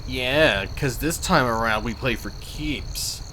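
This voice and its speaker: A man acting a sharp, cocky rival fighter. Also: medium pitch, takes it slowly, rough recording. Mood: cocky threat. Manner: taunting. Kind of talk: scripted visual novel voice acting. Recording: faint background noise.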